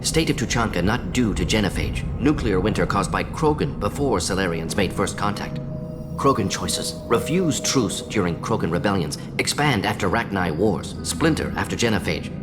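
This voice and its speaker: A low-pitched, brilliant male alien scientist played by a man from a video game. He talks in terse fragments and brisk lists, a doctor wrestling with his conscience. Music plays clearly behind him. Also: lively intonation, close to an everyday voice. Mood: firm, defensive. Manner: clipped telegraphic sentences, rapid lists. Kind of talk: video game character dialogue. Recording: game audio with background music.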